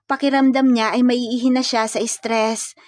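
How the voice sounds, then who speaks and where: A woman's voice: fairly high pitch, flat delivery, a little slow, darkish tone, no echo, studio quality. A woman narrating a scripted romantic comedy story.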